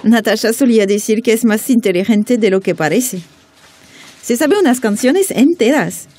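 A woman's voice: a little high, animated, normal speed, mellow. A woman playing a worried woman confiding in friends in a radio drama.

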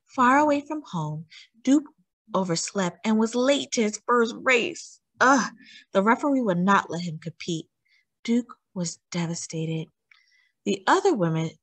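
A woman reads a children's story aloud with a lively, swooping intonation. Her voice sits at a medium pitch with a husky edge, and she leans on key words for young listeners. The sound is close and dry. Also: no room sound. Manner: animated, emphatic delivery with drawn-out words. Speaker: storytime host reading aloud.

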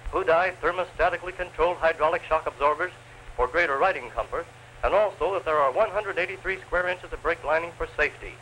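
A man's voice: fairly high pitch, sharp tone. A man narrating a 1930s newsreel advertisement in a declamatory salesman's delivery. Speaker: promotional film narrator. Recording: noisy 1930s film soundtrack.

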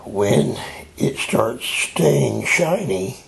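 An older man with a raspy voice, demonstrating a craft step by step while he works. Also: medium pitch, lively intonation, noisy background. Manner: unhurried, explaining while he works. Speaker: tie-dye hobbyist demonstrating. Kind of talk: slow hands-on craft demonstration.

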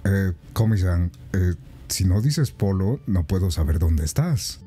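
A man's voice: sits low, lively intonation, a little slow, warm tone. A man improvising comedic virtual reality roleplay in a playful, pleading, repetitive way. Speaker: video creator improvising VR skits.

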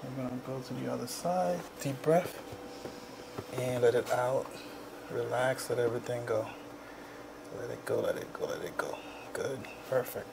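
A man working as a chiropractor, giving a client calm, short, repeated instructions in a soft-spoken way. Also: mid-range voice, moderate pace, gravelly. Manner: calm, repetitive short cues. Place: small indoor treatment room. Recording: noisy room ambience.

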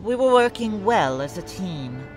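A woman acts out a defiant female warrior with a dark past in an audio drama. She speaks slowly and deliberately, insolent and sardonic. Music sits faintly behind her. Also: mid-range voice, sing-song. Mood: guarded, curt. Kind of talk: fan audio drama acting.

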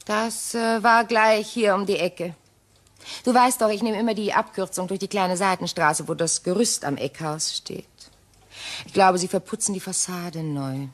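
An actress playing a shaken, wistful ageing widow in a radio crime drama, with halting, pause-filled delivery. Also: female voice, mid-range voice, natural voice. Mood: agitated recounting.

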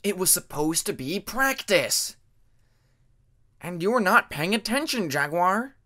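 Hot-headed young male dragon, argumentative and loud, played by a man. Raised pitch, fantasy audiobook. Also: big pitch swings, slow pace, exaggerated voice. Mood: angry, growling.

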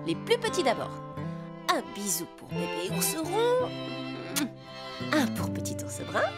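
A woman voicing a gentle, soothing mother bear in a preschool cartoon, tender, playful and reassuring. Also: high-pitched, huge pitch swings, a little slow, heavily acted. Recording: loud music behind the voice.

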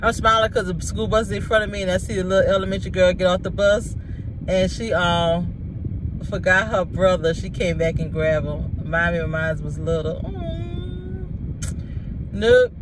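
A woman's voice: on the low side, normal speed. A woman, chatting casually and jokingly to her viewers from her car. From South Carolina, USA. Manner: animated, joking, talks to herself aloud. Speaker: vlogger. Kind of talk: casual chat. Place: parked car, indoors. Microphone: phone on the dashboard. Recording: distant phone mic, loud car noise.

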